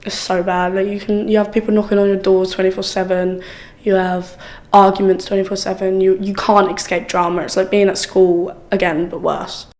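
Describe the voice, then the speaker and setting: List: woman, 20, flat delivery, blunt testimony. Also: mid-range voice, moderate pace. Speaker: young film participant. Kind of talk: personal testimony for a short film.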